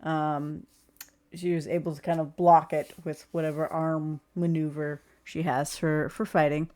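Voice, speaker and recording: A woman running a tabletop roleplaying game as game master, explaining rules in a halting way. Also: deep voice, little pitch movement, darkish tone.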